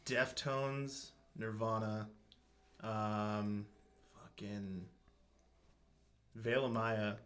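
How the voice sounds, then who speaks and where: A man with a low voice, a band vocalist guest talking bluntly and swearing casually. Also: flat delivery, extremely slow, long pauses, fairly bright tone. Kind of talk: casual interview chat.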